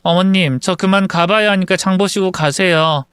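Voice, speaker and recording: A man's voice: sits high, moderate pace, fairly bright tone, clean tone, no echo, studio quality, pitched above the performer's own voice. A man voicing a newly married young woman who speaks politely and apologetically.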